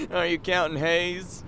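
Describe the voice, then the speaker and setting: A cocky teenage bully in an audio drama. His voice sits fairly high and he takes his time, mocking and sneering. A clear rumbling noise runs behind him. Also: bright, forceful tone, full character voice. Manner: taunting, mocking.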